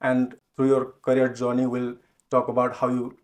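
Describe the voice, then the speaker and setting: A man's voice: mid-range voice, monotone, normal speed, a little mellow. A man hosting an accounting podcast, asking interview questions plainly and prompting with short acknowledgements. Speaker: podcast host.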